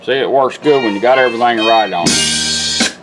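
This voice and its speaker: A man's voice: mid-range voice, normal speed, poor audio. A middle-aged man giving a casual, hands-on product demonstration in a folksy, plain-spoken, instructional way. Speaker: vintage car radio technician demonstrating a product. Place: indoor workshop bench. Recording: music and radio playing behind. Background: music from the demonstrated radio.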